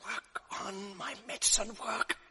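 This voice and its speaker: A man's voice: sits high, flat delivery, measured pace, heavy background noise. An actor playing a cunning, mocking male ensign who whispers sly asides, in a 1980s radio drama. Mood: gloating. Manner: sly, taunting, confiding asides.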